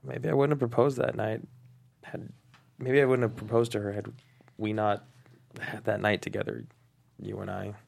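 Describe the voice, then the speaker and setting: A man acts out a wounded, self-doubting man in a screenplay drama. His voice sits a little low with a gravelly fry, and he speaks in a halting, understated way. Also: flat delivery, darkish tone, slight background noise. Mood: regretful. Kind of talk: dramatic table read of a screenplay.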